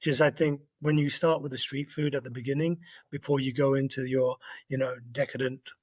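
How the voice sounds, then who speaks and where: A man's voice: medium pitch, a little fast, breathy edge, dry recording, studio quality. A middle-aged man, a chef born in Kenya and raised in London, talking through a recipe step by step in a casual interview, with frequent ums. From born in Kenya, grew up in London. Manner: relaxed, explains recipes step by step with many ums. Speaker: chef guest.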